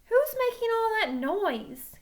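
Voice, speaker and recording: Lady doing an annoyed gamer kid in a story, high voice, stubborn boy. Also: big pitch swings, slow, heavily acted. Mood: annoyed, loud.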